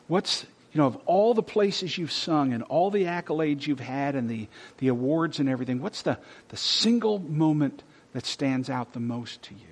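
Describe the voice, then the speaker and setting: A male pastor preaching a sermon, reading scripture loudly and dropping to soft, hushed whispers. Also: mid-range voice. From Texas.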